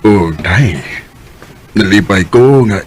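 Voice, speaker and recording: A man's voice: lowish voice, expressive, slow, soft background music. An actor playing an affectionate, weary aging husband and father in a Bisaya radio drama, with a Filipino accent. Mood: content, fond. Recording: noisy radio drama with background ambience.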